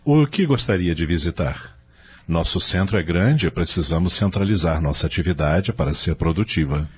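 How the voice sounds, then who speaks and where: A man playing an earnest, patient spirit records clerk in an audio novel, explaining in a measured, didactic way. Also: low-pitched, animated, a little hiss or hum. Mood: instructive. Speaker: guide at a spirit-world records centre.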